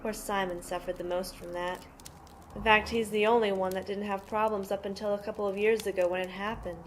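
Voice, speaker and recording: A woman's voice: mid-range voice, flat delivery, a little fast, lightly acted. A woman playing a tough, protective sister who mothers her brothers, explaining things matter-of-factly in an amateur audio play. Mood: subdued. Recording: noisy amateur home recording.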